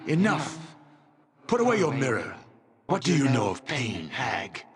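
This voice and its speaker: An actor playing a proud, domineering barbarian chieftain with a dragon's spirit in a video game, speaking with gravelly, imperious contempt. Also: male voice, medium pitch, wildly swooping pitch, unhurried, heavily acted. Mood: anguished, furious. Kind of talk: dramatic video game voice acting.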